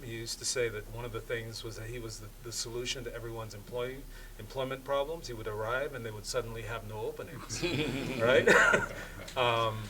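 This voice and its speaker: A man's voice: mid-range voice, pushed and bright. A man speaking as a panelist, telling anecdotes in a conversational discussion. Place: live event panel. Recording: distant microphone, faint room noise.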